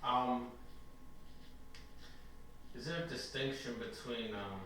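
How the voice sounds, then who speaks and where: A man interviewing, asking questions in a soft, hesitant way. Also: medium pitch, takes it slowly. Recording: echoey room, mic far off, audible noise.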